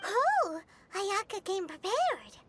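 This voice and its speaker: A woman voicing a tiny childlike fairy companion in a video game, squeaky, nosy and blunt. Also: very animated, slow, a little hiss or hum, full character voice. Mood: curious, chirpy. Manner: exclamatory, blunt. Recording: loud game music behind.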